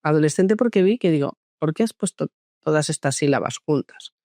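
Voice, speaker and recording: A woman psychologist born in France, telling her story in a relaxed interview with many hesitations and restarts. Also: low-pitched, fairly quick, no room sound, studio quality. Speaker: guest psychologist. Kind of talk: conversational interview.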